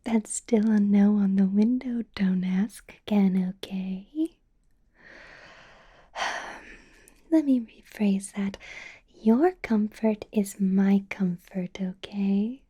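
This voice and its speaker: A woman voicing a doting, possessive vampire boss in an ASMR roleplay, in a soft breathy whisper with a coaxing, controlling sweetness. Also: mid-range voice, drawn-out words, pauses often. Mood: firm, controlling. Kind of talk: ASMR roleplay, close whispered personal attention.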